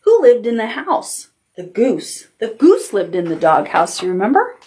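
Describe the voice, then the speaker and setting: A woman's voice: fairly high pitch, wildly swooping pitch, unhurried, a little mellow. An American woman leading storytime for toddlers, speaking in a sing-song read-aloud style with simple prompts for small children.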